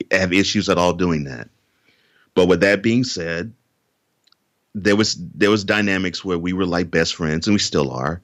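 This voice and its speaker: A man with a very deep voice speaks earnestly as a guest on a podcast. He grew up in Houston, Texas, and often repeats a word before his sentence gets going. The sound is dry, with little room echo. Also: a bit bright. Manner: earnest, repeats words when starting sentences. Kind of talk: interview conversation.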